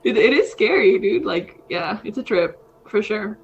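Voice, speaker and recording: A woman's voice: medium pitch, lively intonation, moderate pace, muffled recording. Rambling thirty-something woman, casual chat. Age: 30s. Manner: rambling, frequent fillers, says dude. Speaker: podcast co-host.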